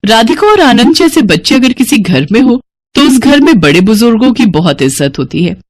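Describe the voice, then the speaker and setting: A woman's voice: a little high, very animated, normal speed, no room sound. A woman storyteller delivering scripted narration for a moral bedtime story.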